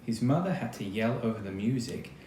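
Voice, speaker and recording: An Australian-accented man reading a picture book to children as an actor, expressive and chatty, speaking straight to his young listeners. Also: medium pitch, fairly quick. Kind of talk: children's storytime read-aloud with casual asides. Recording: roomy echo, clear background noise.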